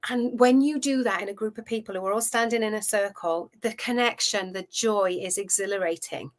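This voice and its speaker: A Welsh woman in her fifties, a coach telling her career story in a chatty, animated way as an interview guest. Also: mid-range voice, a little fast. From Wales. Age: 50s. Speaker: guest, food relationship coach. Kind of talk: casual interview chat.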